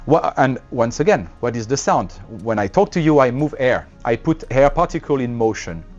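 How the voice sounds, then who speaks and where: A man with a French accent, a company representative explaining his products in a trade show interview, with many fillers and pauses. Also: mid-range voice, lively intonation, darkish tone, dull audio, faint music behind.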